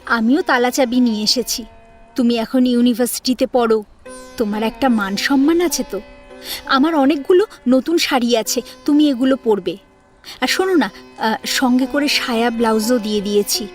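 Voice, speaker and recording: A woman's voice: fairly high pitch, moderate pace, soft background music, close to an everyday voice. A woman playing an affectionate, outspoken married sister-in-law in an audio story, assertive and pleading by turns. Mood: warm, insistent. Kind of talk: Bengali audio story acting.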